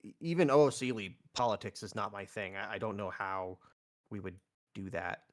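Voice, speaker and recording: Slightly deep-voiced man chatting mid-game. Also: normal speed. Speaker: player. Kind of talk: casual tabletop game chat.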